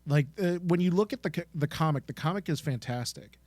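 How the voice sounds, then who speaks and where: A man's voice: fairly high pitch, fairly quick, darkish tone, no room sound. A man co-hosting a podcast, speaking casually and enthusiastically. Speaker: podcast co-host.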